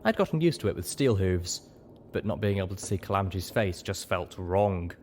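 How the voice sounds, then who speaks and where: A man with a deep voice narrates a story for an audiobook. He reads from a script, and the recording carries a little noise. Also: animated, fairly quick, darkish tone.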